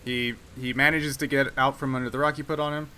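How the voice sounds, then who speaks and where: A man's voice: mid-range voice, level tone, quick pace, pushed and bright. A man acting as game master, casually narrating and ruling on a tabletop game. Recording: clear background noise.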